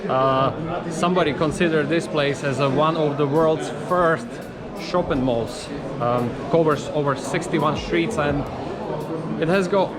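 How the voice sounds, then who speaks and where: A man's voice: a little high, unhurried, a loud crowd. A man in his thirties chatting casually to camera as a travel vlogger, on a camera held at arm's length. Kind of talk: casual vlog talk to camera. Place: outdoor city streets and busy covered bazaar. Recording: music under the voice.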